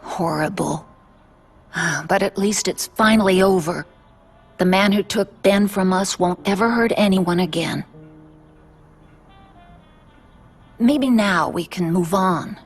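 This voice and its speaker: Game drama role: caring older aunt, widowed, raspy-edged voice, earnest, motherly, firm when needed. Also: mid-range voice, measured pace. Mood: weary relief. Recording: music behind.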